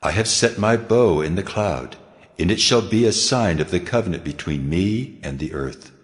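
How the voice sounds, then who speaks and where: God in a Bible audio drama. The voice is very low and commanding, with solemn authority. It rings out in a long, cavernous reverb. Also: male voice, lively intonation, moderate pace. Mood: solemn, promising.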